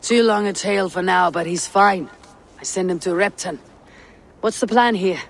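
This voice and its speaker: An actress playing a stern, battle-hardened female Viking raider in a video game, with a low, gruff voice and terse delivery. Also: normal speed. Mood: resolute. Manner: terse, commanding. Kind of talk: dramatic video game acting.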